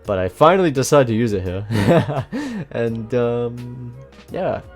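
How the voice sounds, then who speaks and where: A man with a blocked nose and a slightly nasal voice, giving relaxed, self-mocking voice-over commentary on his own match. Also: medium pitch, takes it slowly. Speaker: gameplay commentator. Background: faint background music.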